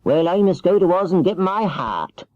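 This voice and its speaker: A man's voice: high-pitched, very animated, normal speed, no room sound, voice pushed up. A man voicing a kindly, polite, eager tin man in a storybook reading. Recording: dull, lo-fi cassette transfer.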